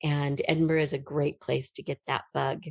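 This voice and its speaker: A woman's voice: deep voice, flat delivery, normal speed, creaky, no room sound. American woman chatting, close dry sound. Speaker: writer and podcast guest. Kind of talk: conversational interview storytelling.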